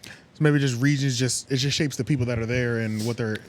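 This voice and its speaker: A man's voice: mid-range voice, fairly even intonation, fairly quick, a little hiss or hum. A man hosting a casual podcast discussion, agreeable and tentative as he searches for his words. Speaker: podcast host.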